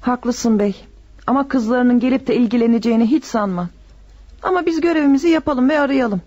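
A woman's voice: on the high side, brisk, a little mellow, close to an everyday voice. An actress in a radio drama playing a kind, dutiful neighbour woman who is respectful and reassuring. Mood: earnest. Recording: dull lo-fi radio recording, music behind.

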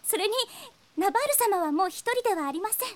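An earnest, gentle young girl of a rebel army, with a very high-pitched voice. She speaks politely, in the acted style of an anime audio drama. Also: expressive, fast talker.

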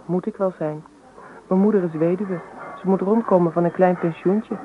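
A woman's voice: on the low side, fairly even intonation, normal speed, slight background noise, lightly acted. Tinny radio recording, pert young hairdresser played by a woman. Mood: chatty, open. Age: young adult. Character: chatty, pert young female hairdresser on holiday-island job. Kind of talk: Dutch radio drama acting. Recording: tinny narrow-band old radio recording.

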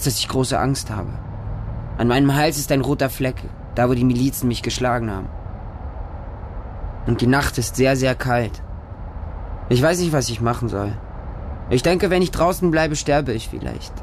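A man's voice: medium pitch, lightly acted. Radio drama: male actor as homeless street kid, frightened yet devoted, childlike simple phrasing, music bed underneath. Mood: breathless, anxious. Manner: short, simple, childlike sentences. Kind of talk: first-person radio drama monologue.

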